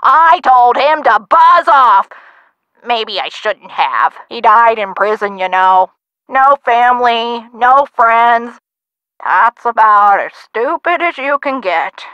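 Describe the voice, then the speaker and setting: A woman's voice: fairly high pitch, animated, takes it slowly, clear, resonant tone, dry recording, muffled recording. An actress playing a feisty older woman, a gangster's chatty former sweetheart in a video game, with theatrical, folksy old-time slang. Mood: wistful, nostalgic. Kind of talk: video game character dialogue.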